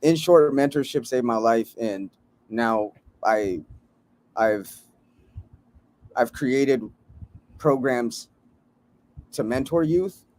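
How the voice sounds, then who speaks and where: A man joining a podcast by remote call as the guest. He speaks in an earnest, plain way, dropping in slang and laughing easily between points. His voice sits at an everyday middle pitch. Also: takes it slowly, pauses often, a little mellow. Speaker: guest, youth mentor and hip hop artist. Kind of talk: casual podcast conversation. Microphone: remote call mic.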